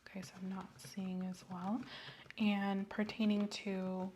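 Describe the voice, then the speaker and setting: A soft-spoken woman playing a doctor in an ASMR medical roleplay, asking methodical questions in a reassuring, apologetic way. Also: mid-range voice, flat delivery, a little slow, a little hiss or hum. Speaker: ASMR host playing a doctor giving an eye exam.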